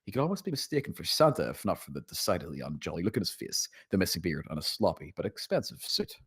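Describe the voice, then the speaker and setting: A man's voice: sits low, big pitch swings, fast, fairly bright tone, breathy edge. A man narrating a script's stage directions at a table read.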